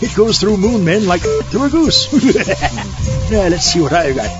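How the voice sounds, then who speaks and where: A man performs a gleeful mad-doctor villain who longs to rule the world. His high voice swings up and down with theatrical pomposity. It is a comedic radio play, slightly dull in sound, with music underneath. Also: sing-song, unhurried. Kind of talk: comedic audio drama.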